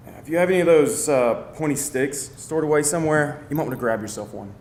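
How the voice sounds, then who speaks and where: A man's voice: animated, fast talker, a little mellow, natural voice. A man playing a casual, mocking tormentor in an offhand, teasing way at a live screenplay reading. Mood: offhand, taunting. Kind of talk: live screenplay table read. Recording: echoey live room, rumbling noise.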